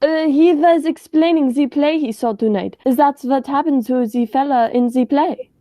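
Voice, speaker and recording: Young woman doing a squeamish nervous doctor accomplice, high mellow voice, radio play. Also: moderate pace, natural voice. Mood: anxious. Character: nervous, squeamish drinking doctor, a murderer's reluctant sidekick.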